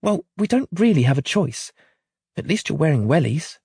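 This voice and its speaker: A harried mother, short-tempered and swearing under her breath, as a male narrator puts her on. The voice is raised in pitch, lively, and slightly husky. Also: normal speed, no room sound, clean studio sound, exaggerated voice. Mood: stressed. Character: stressed, harried young mother.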